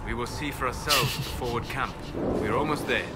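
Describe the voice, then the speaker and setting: An actor playing a solemn, earnest elf mage with formal speech in a fantasy video game. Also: male voice, medium pitch, a little fast, heavy background noise, natural voice. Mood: composed. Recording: game audio under loud music.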